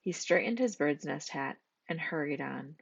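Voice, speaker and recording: A woman's voice: low-pitched, lively intonation, normal speed, gravelly, slightly rough, no room sound, highs cut off. A woman reading a scripted children's story as its narrator. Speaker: storybook reader.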